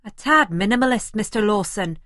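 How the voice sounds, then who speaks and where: A woman's voice: medium pitch, expressive, fairly quick, fairly bright tone, no echo, clean studio sound, close to an everyday voice. A woman voicing a fussy, earnest young Scottish man who is sarcastic and challenging, in an audiobook.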